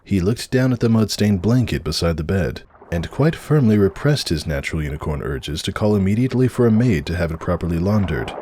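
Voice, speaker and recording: A man's voice: deep voice, lively intonation, a little fast, few pauses, warm tone, slight background noise. A man reading a story aloud as a dramatic, scripted narrator. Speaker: narrator of a fan fiction reading.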